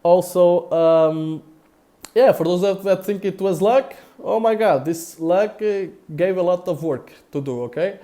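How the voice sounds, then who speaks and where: A man from Portugal with a Portuguese accent, talking casually on a live broadcast, halting with many fillers and repeated words. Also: high-pitched, dark tone. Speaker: crypto investor vlogger.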